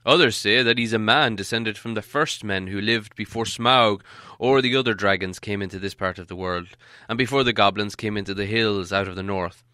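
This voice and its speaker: A man's voice: lowish voice, a little fast, pushed and bright, no room sound, clean studio sound. Man doing a cross, fussy old wizard. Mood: explaining.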